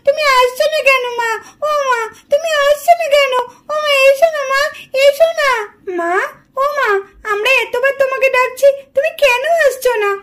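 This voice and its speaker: Very high whiny little girl, pleading. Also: fairly even intonation, normal speed, a bit bright. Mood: tearful pleading. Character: small pleading daughters calling for their mother. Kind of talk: puppet doll cartoon dubbing.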